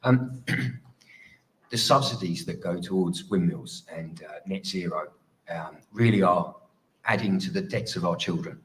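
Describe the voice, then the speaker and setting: Very deep-voiced man, halting delivery. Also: poor audio. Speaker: parliamentary candidate. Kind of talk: hustings speech.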